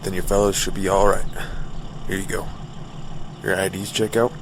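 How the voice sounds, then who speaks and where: An actor playing a male federal checkpoint guard speaking in an official, flat manner. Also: low-pitched, moderate pace, creaky, background noise.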